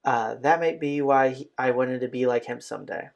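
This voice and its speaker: A man's voice: medium pitch, normal speed, vocal fry. A man reading visual novel narration aloud as a let's play host, occasionally stumbling over a phrase. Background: game music.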